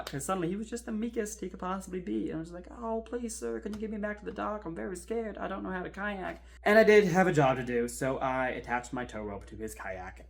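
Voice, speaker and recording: A man's voice: high-pitched, gravelly, heavily acted. A man playing a chatty kayak rescuer who recounts an eerie ordeal in an informal, wry, suspenseful monologue. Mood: amused, exasperated. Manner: wry, informal, halting for suspense, swelling then hushed. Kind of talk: conversational horror monologue, animated storytelling.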